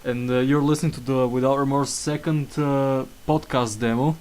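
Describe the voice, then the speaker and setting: A young man hosts a casual chat in a middle-pitched voice. He talks hesitantly, stumbling over his words and filling the gaps with "uh". A slight cough hangs on his voice. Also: steady tone, moderate pace, noisy background. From England. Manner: hesitant, full of fillers and restarts. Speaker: podcast host.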